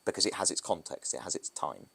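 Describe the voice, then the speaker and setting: British man, very low voice, casual rambling podcast guest, photographer. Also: very animated, quick pace, creaky, sharp tone, husky. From Britain. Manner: loose, rambling, with restarts and asides. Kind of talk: casual interview talk.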